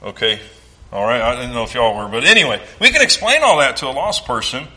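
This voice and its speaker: A man's voice: medium pitch, lively intonation, fairly quick, bright, forceful tone, low-quality recording. A man from the American South with a Southern accent, preaching a sermon with folksy jokes and mimicked sounds.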